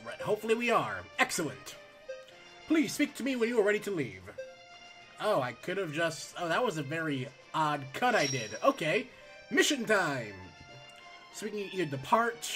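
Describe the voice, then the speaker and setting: Man, hoarse, let's play host, reads game text aloud, casual asides, high lively pitch. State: losing his voice, hoarse. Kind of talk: casual gameplay commentary.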